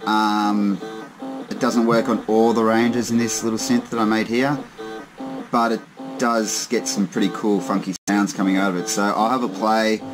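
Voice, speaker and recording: Guy with a lowish voice casually showing off his homemade gear, laid-back, kinda hesitant, music sounds behind him. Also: little pitch movement, moderate pace, low-quality recording. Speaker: hobbyist maker demonstrating homemade synths. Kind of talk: casual hands-on demo. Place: indoors at a wooden tabletop.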